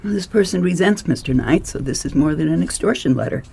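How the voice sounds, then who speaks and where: A man playing a pedantic, erudite language expert in a radio mystery, precise, with a creaky, husky voice. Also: a little high, expressive, fast, dark tone, exaggerated voice. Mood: pedantic, analytical.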